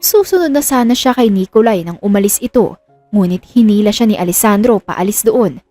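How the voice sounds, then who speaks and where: A woman's voice: mid-range voice, lively intonation, fairly quick, dark tone, soft background music. A woman reading a scripted romance story as a dramatic storyteller.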